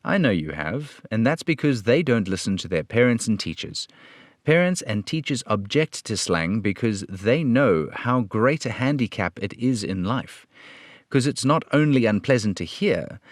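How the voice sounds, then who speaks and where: Guy doing a stiff by-the-book detective, lowish voice, preachy, dry close sound. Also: no echo, natural voice. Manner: firm, lecturing, measured. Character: firm, upright police detective, adult man. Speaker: radio play reader. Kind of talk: old-time radio drama reading.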